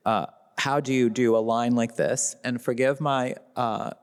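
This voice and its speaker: A man's voice: mid-range voice, steady tone, clean tone. A man with a Midwestern American accent, a literary translator speaking thoughtfully on a festival panel and laughing lightly as he goes. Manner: thoughtful, laughs lightly, slows down when reading lines aloud. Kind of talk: reflective panel talk. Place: festival event hall. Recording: long hall reverb.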